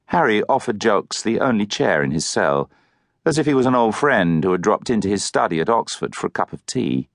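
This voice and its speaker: A man's voice: on the low side, sing-song, a little fast, creaky, no room sound, studio quality. A man reading a novel as an audiobook narrator, in scripted literary prose.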